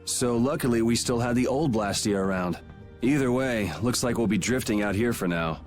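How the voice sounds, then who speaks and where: A man's voice: medium pitch, moderate pace, lightly acted. A man playing a cool, sardonic young swordsman in a video game, with a dry, laid-back way of talking. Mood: wry, subdued. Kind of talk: video game dub acting. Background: background music.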